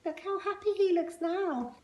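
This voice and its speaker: A woman reading a children's picture book aloud expressively, with measured, emphatic phrasing and pointed pauses. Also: very high-pitched, lo-fi sound. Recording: roomy, echoing indoor sound.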